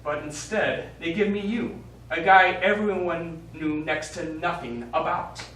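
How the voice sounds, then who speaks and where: A man's voice: fairly high pitch, a little slow, a bit bright. A man on stage playing a blunt space mission commander who frankly questions a member of his crew. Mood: blunt, challenging. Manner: frank, probing. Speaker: stage actor in a short play. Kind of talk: live stage play dialogue. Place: small theater studio, recorded from audience distance. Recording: echoey hall, distant mic, faint noise.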